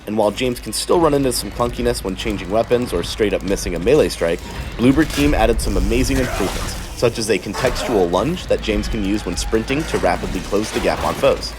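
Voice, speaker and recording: Low-voiced man narrating a game guide. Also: background noise. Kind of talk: scripted narration.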